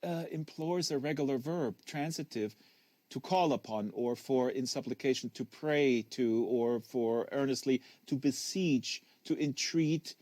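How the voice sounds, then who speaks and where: A man's voice: mid-range voice, a little slow, a little mellow, dry recording, close to an everyday voice. A man voicing a precocious, wary ten-year-old boy in an audiobook, hesitant and pedantically exact. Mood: pedantic.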